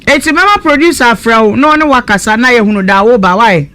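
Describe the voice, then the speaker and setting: Bright-voiced Ghanaian woman radio host. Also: a little high, fast talker, a little hiss or hum. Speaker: radio talk show host. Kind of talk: questioning guests on a live radio show.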